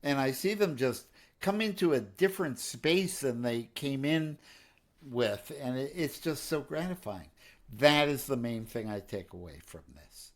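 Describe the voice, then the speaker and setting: A man's voice: medium pitch, lively intonation, measured pace. A middle-aged man from New York co-hosting a casual podcast, joking and playful as he talks about music. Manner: jokey, playful, breaks into humming. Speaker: podcast co-host, pianist and songwriter.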